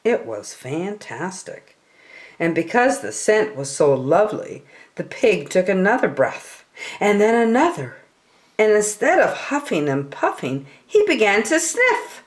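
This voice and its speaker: Slow, expressive older woman reading a storybook. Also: mid-range voice. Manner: deliberate, emphatic storytelling for small children. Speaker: story time reader. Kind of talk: expressive children's picture book read-aloud. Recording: roomy home recording.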